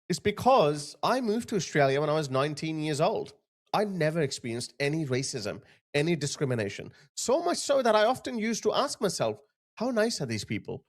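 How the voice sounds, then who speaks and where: A man from Pakistan giving emphatic, sarcastic opinion commentary. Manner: emphatic, sarcastic, big pitch swings.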